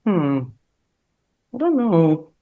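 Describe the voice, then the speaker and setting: An animated woman co-hosting a podcast with a lively, swooping pitch. She talks in a loose, playful way and laughs often. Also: drawn-out words, phone-quality sound. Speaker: podcast co-host. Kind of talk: casual chat.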